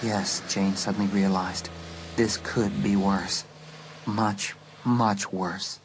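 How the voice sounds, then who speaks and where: A man narrating a dramatised horror audiobook with suspenseful, deliberate phrasing. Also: lowish voice, flat delivery, very noisy.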